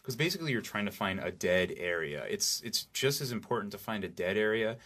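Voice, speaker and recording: A man with a notably low voice talks as an expert guest in a casual interview. He explains practical points informally, like a working recording engineer. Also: animated, a little fast, fairly bright tone.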